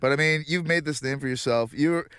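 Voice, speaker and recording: Excitable, swearing male interview host. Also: fairly high pitch, fairly quick, clear, resonant tone, dry recording, studio quality. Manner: excitable, swearing, laughs easily. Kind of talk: casual interview chat.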